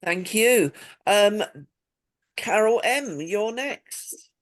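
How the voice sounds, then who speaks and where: A woman's voice: medium pitch, very slow. A woman hosting a casual group video call, calling on participants to speak. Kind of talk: casual moderating.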